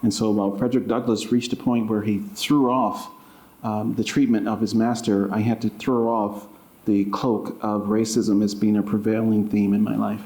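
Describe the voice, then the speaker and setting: A man giving deliberate, thoughtful interview answers as an author at an indoor public event. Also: on the low side, flat delivery, dark tone. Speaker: author and creative writing professor being interviewed. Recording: roomy, echoing library event sound.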